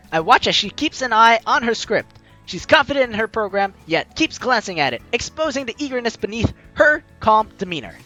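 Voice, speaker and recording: A man hosts a let's play, reading narration and dialogue aloud with swooping, animated pitch. His voice is bright and forceful, his delivery playful and theatrical, with faint game music behind him. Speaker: host of a game playthrough. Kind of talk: let's play, reading visual novel lines aloud expressively.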